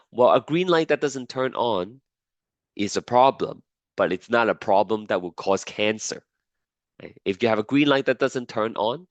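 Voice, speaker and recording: A man's voice: fairly low pitch, no echo. A man teaching a biology class while sick and losing his voice, repeating key points and checking in with students. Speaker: biology lecturer. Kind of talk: classroom lecture.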